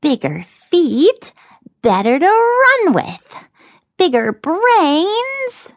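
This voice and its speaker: A woman voicing a small, boastful, excitable male elf in a children's storybook, given to exclaiming. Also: very high voice, drawn-out words, dry recording, voice pushed up, heavily acted. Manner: talks of himself in third person, exclaiming.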